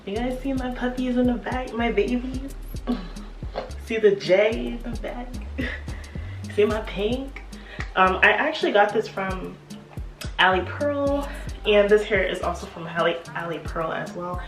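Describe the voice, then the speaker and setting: A woman's voice: mid-range voice, a little slow. A young woman with a congested voice from a cold, chatting casually as a beauty vlogger getting ready at home, with lots of fillers and laughs. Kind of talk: casual get-ready-with-me chat. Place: home apartment room. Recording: echoey room, mic at a distance, music behind.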